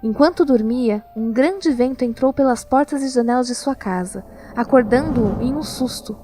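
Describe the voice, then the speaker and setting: A woman's voice: on the high side, moderate pace, mellow. A woman with a Brazilian accent narrating a scripted audio drama as storyteller. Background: music.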